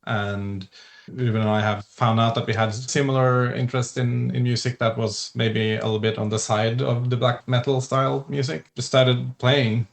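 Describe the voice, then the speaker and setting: A man speaks English as a podcast guest. His voice sits at a mid pitch. He searches for his words and restarts his sentences as he goes. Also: flat delivery, normal speed, creaky. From Norway. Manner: hesitant, with restarts and laughs. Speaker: musician guest. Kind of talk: casual interview chat.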